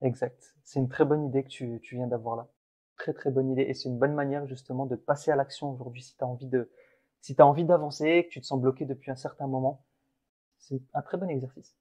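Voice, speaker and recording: A man's voice: mid-range voice, fairly even intonation, fairly quick, mellow. A man co-hosting a casual motivational podcast, talking in an earnest, encouraging way with frequent restarts. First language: French. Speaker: podcast co-host.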